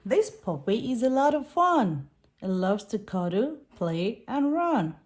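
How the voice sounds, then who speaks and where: A woman reading a picture book aloud to young children in an expressive way, putting on animal sounds. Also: fairly high pitch, slow.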